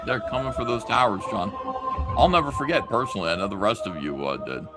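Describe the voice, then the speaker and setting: A man's voice: lowish voice, lively intonation, fast talker, music in the background. A man co-commentating on a livestream in casual, opinionated chat.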